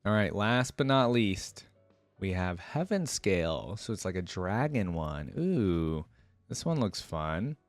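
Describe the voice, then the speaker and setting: A man's voice: sits low, very animated, slow, no echo, soft background music. A man hosting a casual reaction video, talking to viewers in an informal, slangy, exclamatory way.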